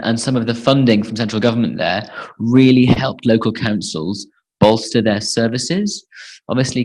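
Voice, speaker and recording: Low-voiced man briefing campaign volunteers. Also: a little mellow, low-quality recording. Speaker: campaign organiser leading a conference call.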